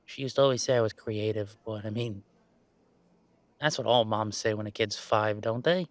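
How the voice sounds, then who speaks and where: A man playing a laid-back, self-deprecating young gamer in a roleplay audio drama, chatting in a halting, playfully exasperated way. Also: fairly low pitch, no room sound, close to an everyday voice. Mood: casual, reflective. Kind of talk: roleplay audio drama, casual one-sided conversation.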